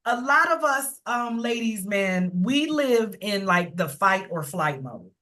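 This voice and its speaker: A woman's voice: medium pitch, sing-song, slow pace, a bit bright. A woman hosting a live event in a casual, colloquial, affirming way. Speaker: host of a live book launch. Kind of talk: casual chat.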